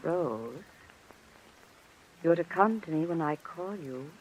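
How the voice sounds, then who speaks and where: Sharp-tongued jealous sister, swooping delivery. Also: female voice, sits low, animated, takes it slowly. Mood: coaxing, controlling. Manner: sharp, clipped, commanding. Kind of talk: old-time radio drama acting. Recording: muffled, noisy vintage radio recording.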